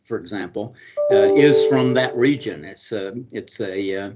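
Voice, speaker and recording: A middle-aged man with a Southern Georgia accent gives interview answers in a low voice. He hesitates often, restarting phrases and filling gaps with ums and uhs. The recording sounds dull and lo-fi. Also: measured pace, loud music behind the voice. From US state of Georgia. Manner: hesitant, many fillers and restarts. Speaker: consul and business attorney, guest.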